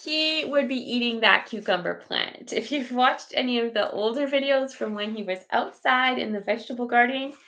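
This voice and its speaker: A hoarse woman, chatting casually as host of a live garden tour from her computer room, greeting viewers by name. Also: fairly high pitch, a bit bright. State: hoarse from recent illness.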